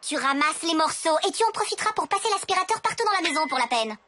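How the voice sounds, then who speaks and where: A woman's voice: very high-pitched, expressive, fast talker, pushed and bright, a little hiss or hum, exaggerated voice. A woman playing a stern, exasperated mother in a French-dubbed cartoon, scolding firmly. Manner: scolding, firm.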